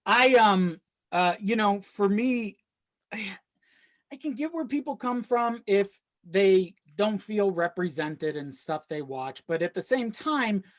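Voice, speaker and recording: A man's voice: sits high, lively intonation, takes it slowly. A man speaking as a podcast guest in casual, reflective chat, thinking aloud as he goes. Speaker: podcast guest, audio drama creator.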